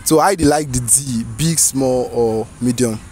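A man in his twenties with a Nigerian accent, teasing and animated as he hosts a casual street interview. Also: medium pitch, moderate pace, faint music behind. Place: outdoors on a park path.

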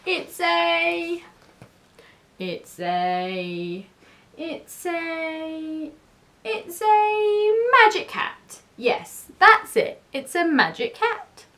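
Storybook boy cat, female performer: shy, flustered bluffer, high squeaky voice, hesitant stammer. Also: very animated, a little slow, hardly any pauses. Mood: flustered bluffing. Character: shy, flustered young male cat bluffing about magic.

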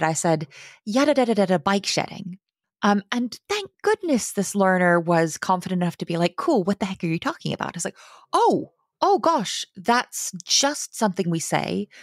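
A woman in her thirties, a guest speaking on a video call from a home room, talking with animated enthusiasm in quick bursts. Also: fairly low pitch, no room sound, studio quality. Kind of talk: casual conversation.

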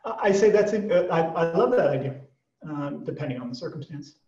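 An American man in his fifties talks as a podcast guest in a fairly high voice. He restarts often and wanders into asides. He is on a video call from a small room, echoey and slightly dull in sound. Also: animated, a little fast, darkish tone. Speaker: auction executive, podcast guest. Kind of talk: conversational interview answers. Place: small plain room indoors. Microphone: computer mic on video call. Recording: boxy, echoey video call, distant mic.

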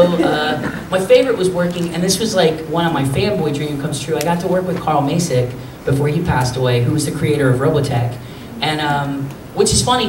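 A man with a high, boyish voice talks to a convention crowd in an animated, joking way. He sits at a table mic in a meeting room. The recording is roomy and noisy, picked up from a camera well back in the hall. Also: recorded from a distance. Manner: animated, jokey storytelling with bursts of laughter. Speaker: voice actor at a convention panel. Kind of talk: casual panel talk answering fan questions. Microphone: table mic on stand.

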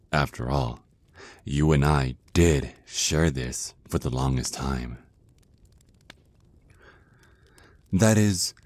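ASMR roleplay casting: jealous male vampire ex, acted by a man, extremely low dark voice, slow seductive taunts. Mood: smug, menacing. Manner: slow, teasing, drawn-out menacing murmur.

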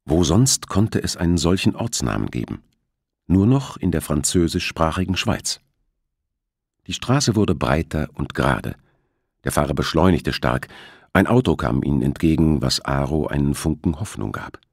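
Very deep, husky male narrator. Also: sing-song, normal speed, no room sound, clean studio sound. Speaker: audiobook narrator. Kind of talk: scripted thriller novel narration.

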